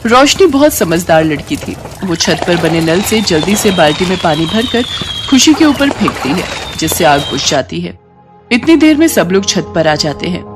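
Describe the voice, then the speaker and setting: A woman's voice: on the low side, very animated, normal speed, music in the background. A woman storyteller reading scripted narration for a children's moral tale.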